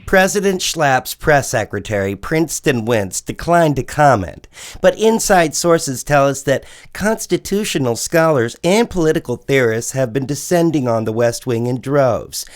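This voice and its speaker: An actor playing a serious news anchor in an audio drama, reading formal, measured and urgent scripted news. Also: male voice, mid-range voice, lively intonation, natural voice. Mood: grave, urgent.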